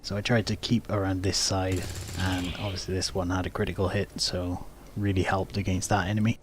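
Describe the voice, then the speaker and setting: A man's voice: low voice, little pitch movement, brisk. A man casually narrating his own video game playthrough, explaining each move in a matter-of-fact way. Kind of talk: casual game commentary, recounting moves.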